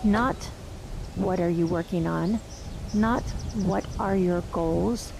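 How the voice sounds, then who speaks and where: A woman guiding a meditation, speaking softly and unhurriedly with long pauses. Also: on the low side, gravelly, background noise. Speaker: meditation guide. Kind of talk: guided meditation.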